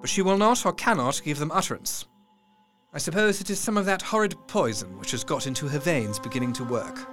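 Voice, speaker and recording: A man's voice: fairly high pitch, animated, brisk, music in the background, close to an everyday voice. An actor playing an earnest Victorian doctor, narrating his diary in thoughtful, formal speech. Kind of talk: audio drama diary narration.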